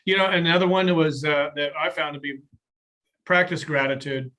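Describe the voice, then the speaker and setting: A man's voice: fairly high pitch, normal speed, pushed and bright. A man hosting an interview, asking questions and dropping brief affirming interjections. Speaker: host. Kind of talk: conversational interview.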